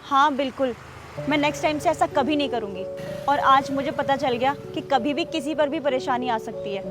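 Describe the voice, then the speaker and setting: A woman's voice: extremely high pitch, brisk, music in the background. An actress playing an arrogant rich young woman who berates a guard in a short drama skit. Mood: remorseful. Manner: rude and shouting, later softly apologetic.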